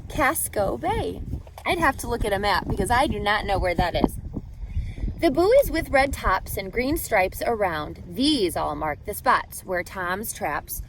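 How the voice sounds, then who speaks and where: A woman reading a picture book aloud as a teacher to children, expressive and carefully phrased, pausing to put questions to her listeners. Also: mid-range voice, background noise.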